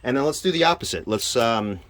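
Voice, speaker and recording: A man giving an informal hands-on equipment demonstration, halting and thinking aloud as he goes. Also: medium pitch, expressive, a bit bright. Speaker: presenter demonstrating radio equipment. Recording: clear background noise.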